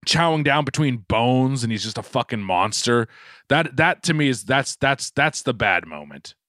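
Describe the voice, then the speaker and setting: A man with a mid-pitched, bright voice that moves a lot, co-hosting a comedy podcast. He rambles, stumbles through restarts and swears freely. Dry, close sound. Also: big pitch swings, moderate pace, dry recording. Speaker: podcast co-host. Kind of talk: casual comedic chat.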